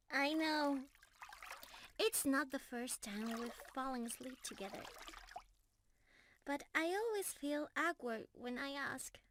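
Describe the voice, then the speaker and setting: A woman's voice: high-pitched, fairly bright tone, slight background noise. A woman playing a sweet, bashful young mermaid in an intimate ASMR roleplay, affectionate and girlish, easily flustered and teasing. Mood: tender, shy.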